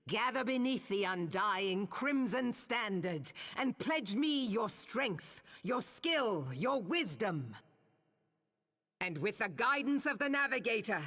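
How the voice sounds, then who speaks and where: An actress playing a stern, commanding pirate admiral, delivering a solemn rallying speech in archaic nautical language for a video game. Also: female voice, a little high, a bit bright, exaggerated voice. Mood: rousing. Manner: solemn, oratorical, measured. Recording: long echoing hall reverb.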